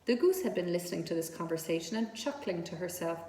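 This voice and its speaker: A woman reading a storybook aloud as an expressive narrator. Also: on the low side, fast, roomy sound, in a large hall.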